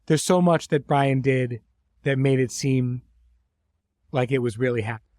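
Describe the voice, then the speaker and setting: A man's voice: medium pitch, moderate pace, a little mellow, no echo. A middle-aged man hosting a podcast in an informal, conversational way, effusive with his praise. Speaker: host and series creator.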